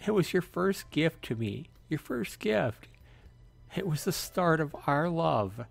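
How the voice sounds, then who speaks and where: A man's voice: fairly high pitch, sing-song, takes it slowly, vocal fry, faint music behind, pitched above the performer's own voice, exaggerated voice. A man voicing a desperate, heartbroken wife who pleads and falters in a dramatic visual novel read-aloud.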